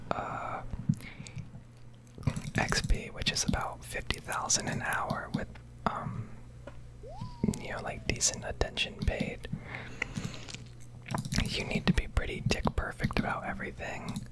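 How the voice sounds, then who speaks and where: A woman whispering a casual, rambling ASMR commentary over a video game, pausing often as she goes. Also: rough recording. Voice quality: whispered, breathy. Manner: casual, rambling, hesitant pauses. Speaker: video creator, game streamer.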